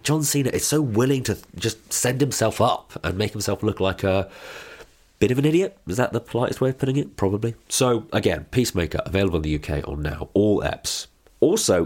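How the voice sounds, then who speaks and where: A man hosting a podcast, hoarse and croaky from a cold, chatting casually and poking fun at himself. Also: low-pitched, darkish tone. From Britain. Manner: chatty and self-mocking. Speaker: podcast host. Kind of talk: casual chat.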